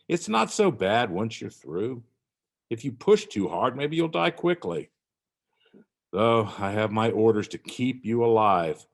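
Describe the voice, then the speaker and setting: A man reading a dramatic monologue as a harried, callous Roman guard, gruff, taunting and defensive. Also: on the low side, exaggerated voice. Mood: impatient, barking.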